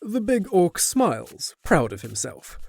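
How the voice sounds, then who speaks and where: A man's voice: sits high, wildly swooping pitch, a little slow, creaky, slightly rough. A man narrating a scripted fantasy audio drama in a descriptive storytelling manner.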